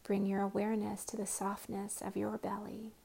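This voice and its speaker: Woman meditation guide, soft and often whispered, gravelly, flat, unhurried. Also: medium pitch.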